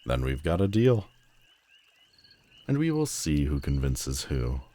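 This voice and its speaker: An extremely deep-voiced man acting as a telepathic villain in an audio roleplay. He is proud and sardonic, with a haughty, theatrical delivery that leans hard on his words. A lonely streak sits under the arrogance. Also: very animated, mellow, full character voice. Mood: teasing, confident. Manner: haughty, dramatic, drawn-out emphatic phrasing.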